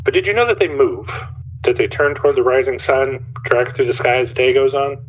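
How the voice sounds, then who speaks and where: A man's voice: mid-range voice, a little fast. An actor playing a rattled, earnest male caller to a radio show, folksy and insistent, heard through a phone. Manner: folksy, insistent, asks rhetorical questions. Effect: phone-call filter. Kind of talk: dramatic eerie storytelling on a radio call-in. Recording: tinny noisy phone line.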